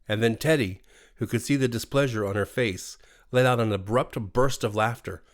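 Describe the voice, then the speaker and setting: A man with an American accent reading a scripted bedtime horror story in an expressive, dramatic way. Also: mid-range voice, moderate pace. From USA. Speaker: storyteller, narrator. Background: faint music.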